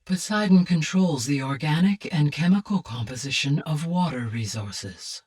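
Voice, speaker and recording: A woman voicing a calm, caring, highly intelligent female artificial intelligence in a video game, with formal, precise, measured phrasing and a low, husky voice. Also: recorded from a distance. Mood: composed, measured. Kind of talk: measured, scripted game dialogue.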